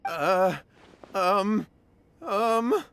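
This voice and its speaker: A man's voice: high-pitched, sing-song, extremely slow, fairly bright tone, slight background noise, full character voice. An actor voicing a cowardly, guilty young man in a video game, flustered, stammering and pleading.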